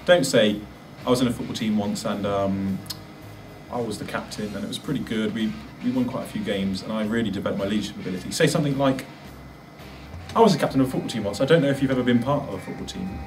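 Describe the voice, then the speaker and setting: A man's voice: fairly low pitch, fast, distant mic, over music. A man giving an instructional presentation, as a presenter of practical advice. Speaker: presenter giving interview tips.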